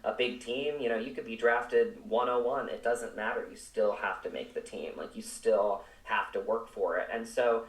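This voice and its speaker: A man's voice: fairly low pitch, moderate pace, hardly any pauses. A man speaking as an interview guest in an earnest, reflective, conversational way, recorded with room echo at some distance. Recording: echoey room, distant from mic.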